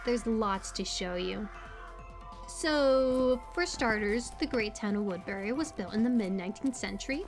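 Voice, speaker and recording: A woman playing a bubbly, quirky young small-town local in an audio roleplay, rambling casually with playful, high energy and lots of filler words. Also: a little slow, faint music behind, natural voice. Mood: playful, eager.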